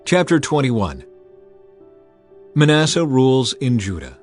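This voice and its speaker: A man's voice: mid-range voice, wildly swooping pitch, unhurried. Solemn man reading scripture. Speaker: narrator. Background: soft music.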